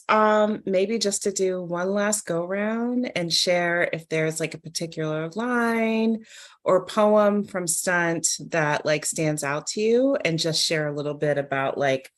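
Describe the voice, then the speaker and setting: Low-voiced woman chatting on a panel. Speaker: poet and panel discussion leader. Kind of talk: casual, conversational literary panel talk.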